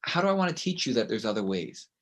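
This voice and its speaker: A middle-aged man from Canada with a loud voice, speaking as a guest in an interview. He is emphatic and tells stories, and he often ends his points with a quick "right?". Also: mid-range voice, sing-song. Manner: emphatic, storytelling, with frequent "right?" tags. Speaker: guest author and consultant. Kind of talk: conversational interview.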